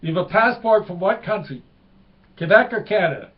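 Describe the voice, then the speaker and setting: A man playing a bewildered Norwegian tourist, clumsy English, slightly high voice. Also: expressive, moderate pace, lo-fi sound. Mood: puzzled. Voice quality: broken English.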